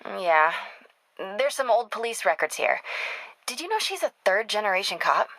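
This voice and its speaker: Young American investigative reporter, video game: mid-pitched, crisp bright edge, sharp and determined, wry natural phone delivery. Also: female voice, sing-song, no echo, low-quality recording. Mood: intent, conversational. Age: young adult. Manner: wry, brisk, conversational.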